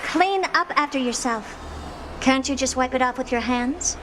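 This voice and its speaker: An actress playing a haughty, brilliant scientist in a video game, with a smug, theatrical, playfully condescending manner. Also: female voice, high-pitched, normal speed, noisy background, lightly acted. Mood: breezy. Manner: smug, theatrical, self-assured.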